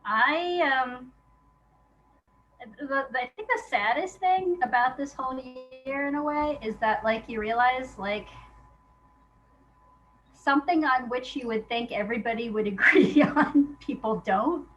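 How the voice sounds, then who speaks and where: A woman's voice: high voice, unhurried, fairly bright tone, rough recording. A woman speaking as an interview guest in a casual, reflective way, candid and hesitant, often restarting her thoughts. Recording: noticeable background noise.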